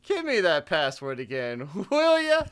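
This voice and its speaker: A man's voice: fairly high pitch, wildly swooping pitch, a little slow, a bit bright, clean tone, exaggerated voice. A man playing a jovial, boastful, pestering neighbor in a hammy, blustery old-time radio comedy manner. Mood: sly, chummy.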